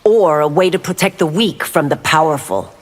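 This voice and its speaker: A woman's voice: low voice, moderate pace. Stern female constable. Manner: stern, clipped.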